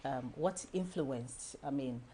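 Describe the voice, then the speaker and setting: A woman's voice: very deep voice, takes it slowly, mellow, slight background noise. A woman hosting a broadcast TV interview, reading a scripted introduction of her guest and then asking short questions. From Ghana. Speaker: TV interview host.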